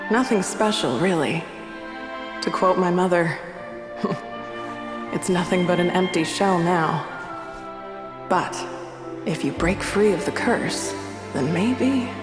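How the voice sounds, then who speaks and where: Slow-speaking, composed sage in reverb. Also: female voice, fairly low pitch, lively intonation. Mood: wry, musing. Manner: measured, dramatic. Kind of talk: video game voice acting. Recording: echoey hall-like reverb, music behind.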